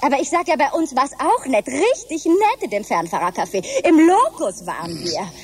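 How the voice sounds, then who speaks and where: High-strung, snobbish mother, wildly animated. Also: moderate pace. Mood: snobbish, aggrieved. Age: middle-aged. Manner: theatrical, haughty, exclamatory. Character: genteel snobbish easily panicked mother, wife of Henry. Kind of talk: German radio drama acting.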